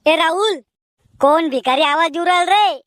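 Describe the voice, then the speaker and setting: An extremely high-pitched young village man from a cartoon comedy , acted by a woman. He banters colloquially in a rural Maharashtrian Marathi accent. The sound is dry and close. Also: moderate pace, a bit bright, dry recording. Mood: bantering.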